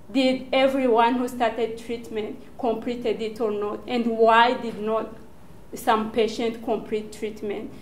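A woman in her thirties with a Rwandan accent gives an earnest, prepared research talk as a doctoral student, phrasing things deliberately. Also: a little high. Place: small indoor lecture room, standing before a screen. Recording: echoey lecture room.

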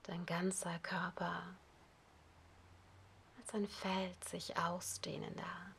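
A woman yoga teacher softly whispering a guided relaxation, calm and unhurried. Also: lowish voice, creaky, slight background noise. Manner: soft whispered, slow and calm. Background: ocean waves.

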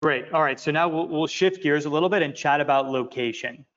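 A man walking through presentation slides for an investment project, talking in a halting way with many fillers and repeated words. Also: on the high side, a bit bright. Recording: muffled lo-fi audio.

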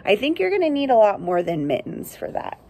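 A young adult woman reading a picture book aloud to children outdoors, with expressive, chatty asides and simple questions for young listeners. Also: mid-range voice, fairly quick. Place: outdoors under a shelter among pine trees.

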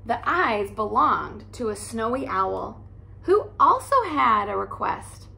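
A woman in her thirties, a teacher, reading a picture book aloud in a soft, cozy way in a living room by a fireplace. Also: fairly high pitch, big pitch swings, takes it slowly, creaky, slight background noise.

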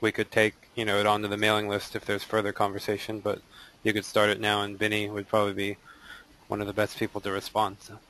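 A man's voice: fairly low pitch, completely flat delivery, quick pace, sharp tone, rough recording. A man hosting an online meetup, politely passing questions on to the speakers. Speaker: meeting host.

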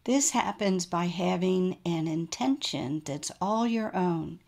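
Female meditation guide, slightly low-pitched, gentle and soothing, very unhurried delivery for yoga nidra. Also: vocal fry.

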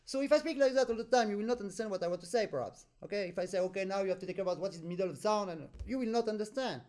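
A man with a French accent, a native French speaker, teaching an accordion master class over a video call, animated and pausing deliberately between phrases. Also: high voice. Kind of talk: online master class instruction.

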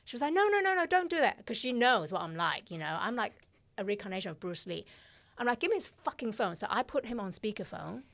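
Blunt, animated woman telling a story. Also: mid-range voice, quick pace, vocal fry, hardly any pauses. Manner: blunt, animated, swears freely, acts out dialogue. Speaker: podcast guest, fashion director. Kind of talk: casual interview storytelling. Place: small dark podcast studio. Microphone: studio mic on arm, headphones.

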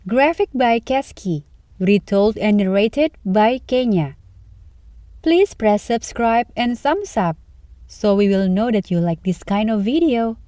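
A woman narrating a children's story from a script, reading deliberately phrase by phrase. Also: medium pitch, animated, mellow, no room sound, studio quality.

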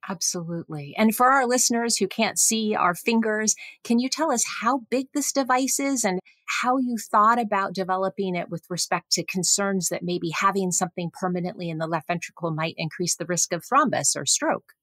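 A woman's voice: medium pitch, normal speed, hardly any pauses, fairly bright tone, no room sound. A middle-aged woman, a physician, hosting a medical interview podcast and putting questions to a guest. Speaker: podcast host, physician and professor.

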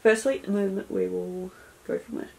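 A woman's voice: medium pitch, steady tone, normal speed, vocal fry, warm tone, husky. A young woman in her twenties, chatting casually while tidying her room, rambling with lots of fillers. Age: 20s. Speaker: beauty vlogger. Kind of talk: casual clean-with-me chat. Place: cluttered bedroom beauty room, indoors. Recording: noisy home camera audio.

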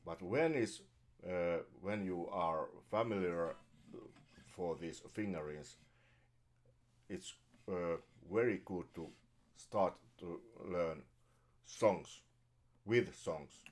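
A middle-aged man with a Finnish accent, teaching jazz guitar at home in halting, hesitant English full of fillers and restarts. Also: deep voice. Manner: halting, searching for words, many fillers and restarts. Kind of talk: casual guitar lesson. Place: small bedroom at home. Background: his own jazz guitar playing.

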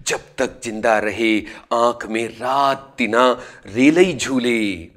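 A man in his forties, a radio host, recounting a memory and then reciting a rural poem in an expressive, sing-song way, recorded indoors on a lapel mic. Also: mid-range voice, slow pace. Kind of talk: storytelling poetry recitation. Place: indoor studio room.

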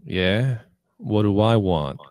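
A man playing a crude, cocky, domineering poker boss in a script table read, with a swaggering, deliberate delivery. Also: on the low side, expressive, darkish tone, dry recording, clean studio sound. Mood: cool menace.

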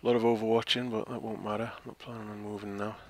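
A man's voice: lowish voice, little pitch movement, fast, darkish tone. A man thinking aloud and commentating his own strategy game match with wry asides. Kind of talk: casual gameplay commentary. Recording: game music behind the voice. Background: game music.

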